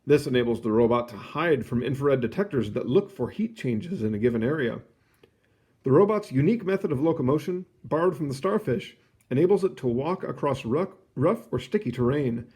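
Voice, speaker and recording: A man reading a children's nonfiction book aloud, phrasing it clearly and adding short casual asides. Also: mid-range voice, animated, a little fast, darkish tone. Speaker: read-aloud host.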